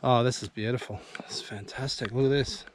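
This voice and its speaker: A man giving relaxed, easily impressed commentary while hiking outdoors on a bush track. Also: medium pitch, brisk, background noise. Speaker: bushwalking vlogger. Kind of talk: casual walking commentary. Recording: music playing under the voice.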